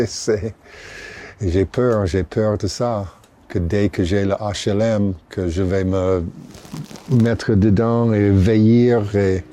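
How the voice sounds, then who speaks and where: Low-voiced older American man. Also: a little hiss or hum. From Utah, United States. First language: English. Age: 60s. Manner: relaxed, halting French with English words slipped in. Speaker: interviewee. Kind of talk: casual chat. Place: outdoors under a city bridge.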